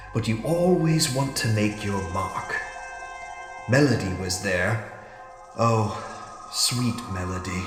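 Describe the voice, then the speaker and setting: A man playing an ageing, regretful scientist in an audio drama monologue, speaking formally and broodingly with heavy pauses. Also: fairly low pitch. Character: ageing, regretful scientist fighting off an alien conditioning in his mind. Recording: echoey reverberant hall with music underneath. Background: music.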